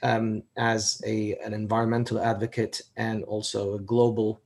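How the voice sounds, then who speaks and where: A man speaking as an environmental advocate on a video call. He talks earnestly, with filler pauses. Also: fairly low pitch, completely flat delivery, a little mellow.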